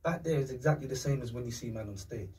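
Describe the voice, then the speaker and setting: A man's voice: mid-range voice, fast talker. A man from London with a London accent, talking emphatically in street slang as a podcast guest. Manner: animated, emphatic, street slang, acts out quotes. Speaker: podcast guest, music artist. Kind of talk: casual chat. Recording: distant mic.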